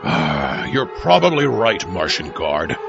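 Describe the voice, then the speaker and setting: A man's voice: low-pitched, wildly swooping pitch, takes it slowly, vocal fry, exaggerated voice. A man playing a bossy, obtuse and clingy Martian warlord in a comic audio drama. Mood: resigned. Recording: dull lo-fi audio, loud music bed.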